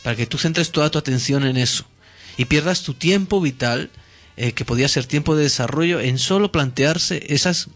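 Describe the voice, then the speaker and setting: A man's voice: fairly high pitch, moderate pace, fairly bright tone. A man explaining ideas in casual conversation, hesitant, with many fillers and restarts.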